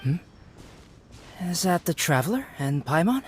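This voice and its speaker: A man playing a calm young alchemist in a video game, speaking in a measured, polite and understated way. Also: fairly high pitch, very noisy. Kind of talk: video game cutscene acting. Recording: guitar music playing behind the voice.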